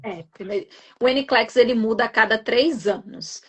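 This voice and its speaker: A woman's voice: fairly high pitch, moderate pace, slightly rough. A young adult woman from Minas Gerais with a Minas accent, explaining and reassuring in a live stream chat, often adding tag questions. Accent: Minas Gerais Portuguese. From Minas Gerais, Brazil. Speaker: guest nurse educator.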